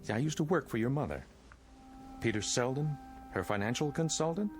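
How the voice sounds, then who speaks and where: An actor playing a middle-aged financial consultant, unsettlingly polite and prying, with apologetic hesitations, in a vintage TV drama. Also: male voice, medium pitch, expressive, fairly quick, lightly acted. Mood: probing, apologetic. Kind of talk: mid-century American TV drama acting. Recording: vintage TV soundtrack with music behind.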